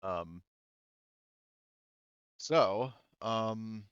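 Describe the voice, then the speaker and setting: Halting low-voiced man running a tabletop game. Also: a bit bright, smooth, no room sound, lo-fi sound. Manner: halting, thinking aloud, profane. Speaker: game master. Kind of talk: running a tabletop roleplaying game, improvised narration.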